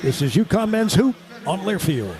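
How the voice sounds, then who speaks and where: A man calling live basketball play-by-play on the radio in an excitable, punchy delivery that rises with the action. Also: sits high, moderate pace, music in the background. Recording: loud arena noise behind the voice. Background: basketball game ambience.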